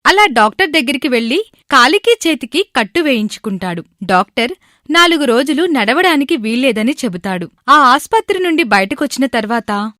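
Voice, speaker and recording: Woman narrating a moral story from a script, slightly high voice, very dry sound. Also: big pitch swings, normal speed, bright, forceful tone, no echo.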